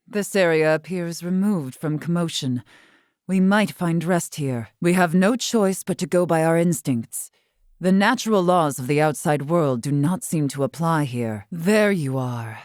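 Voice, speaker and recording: A dignified female warrior-scholar from a video game, with a fairly low voice. She speaks in stately, old-fashioned, carefully formal phrasing. The recording is dry, with no room sound. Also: darkish tone, no room sound. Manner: stately, archaic formal diction. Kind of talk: scripted video game character lines.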